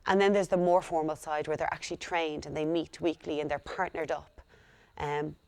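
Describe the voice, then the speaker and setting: A young woman working as a school liaison coordinator, explaining her work in a steady, earnest way. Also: low voice, moderate pace, gravelly, no echo. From Ireland. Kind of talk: speaking to camera about her work.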